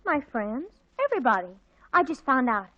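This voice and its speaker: A woman playing an excitable, bossy teenage girl in a 1940s radio comedy, dramatic and wheedling. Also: sits high, normal speed, full character voice. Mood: excited, bossy. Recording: muffled 1940s radio recording.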